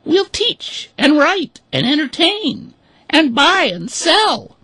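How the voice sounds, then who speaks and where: Shrill indignant hens. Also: male voice, sing-song, slow, bright, forceful tone, no echo, full character voice. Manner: shrill high falsetto, singsong. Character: frantic, pleading flock of hens.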